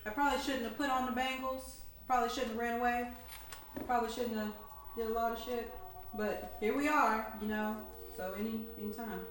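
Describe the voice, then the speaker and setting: Female tabletop gamer, slightly high voice, irreverent and sarcastic, casual delivery, very roomy noisy home recording. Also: steady tone, a little fast, distant mic. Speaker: player in a tabletop role-playing game. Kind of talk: casual in-character banter. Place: indoor room, home game session. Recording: very echoey room, clear background noise. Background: noise, air conditioner hum.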